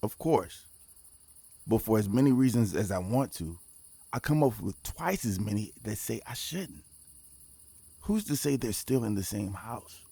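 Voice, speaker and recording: Audio drama lead: guarded, pragmatic team leader, forties, creaky voice, lively inflection, measured delivery. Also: male voice, fairly low pitch, no echo, full character voice. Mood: guarded, conflicted. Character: guarded, pragmatic male time-travel mission leader in his forties. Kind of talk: naturalistic audio drama dialogue.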